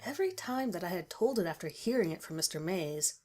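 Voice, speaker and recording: A woman's voice: on the low side, lively intonation, brisk, a little mellow, slight background noise. A woman reading a first-person horror story as a scripted narration. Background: music.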